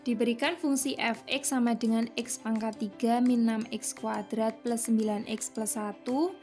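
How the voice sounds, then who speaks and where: A woman's voice: fairly high pitch, a little mellow. A woman teaching a math lesson to students, explaining step by step. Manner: patient, explains step by step with frequent "ya".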